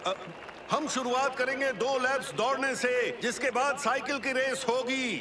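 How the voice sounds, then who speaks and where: A man playing an excitable sports announcer in a cartoon, calling a race in an emphatic, showy, rallying way. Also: very high voice, measured pace, crowd noise. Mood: upbeat, rallying. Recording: loud music behind.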